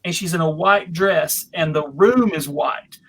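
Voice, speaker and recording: A panelist chatting casually about films in a halting way, thinking aloud. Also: mid-range voice, a bit bright.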